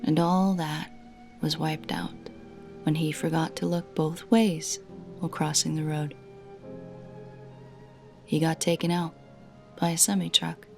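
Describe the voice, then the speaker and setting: A woman narrating a scripted first-person creepy story in a measured way, with dramatic pauses between short phrases. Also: low voice, flat delivery, vocal fry, darkish tone, soft background music.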